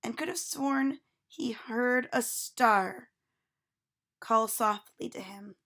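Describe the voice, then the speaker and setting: A woman reading a children's storybook aloud with expressive narration. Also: a little high, slow.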